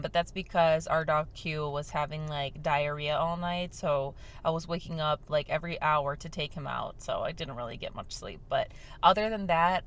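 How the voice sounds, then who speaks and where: A young woman with a slightly low voice, chatting casually to camera in a deliberately quiet tone. Her speech is loose and rambling, full of fillers, and her voice sounds a little hoarse. A faint hiss of noise sits under her voice. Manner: talking softly on purpose, filler-heavy and rambling. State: slightly hoarse from shouting the day before. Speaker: vlog host. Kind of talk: casual chat while sipping a drink. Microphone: mic without windscreen.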